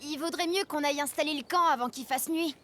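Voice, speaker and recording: A woman's voice: sits high, quick pace, fairly bright tone. A woman voicing a sensible, friendly young girl animal in a children's cartoon dub, explaining things in a knowing, reassuring way.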